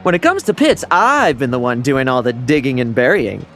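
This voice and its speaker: An actor playing a sly, cheerful young knight in a game, breezy and smooth-talking. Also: male voice, medium pitch, big pitch swings, moderate pace, fairly bright tone, faint music behind.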